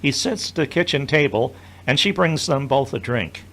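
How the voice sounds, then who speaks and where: A man's voice: medium pitch, lively intonation, normal speed, gravelly, a bit bright. A man narrating an audio drama from a script, describing each scene as storyteller.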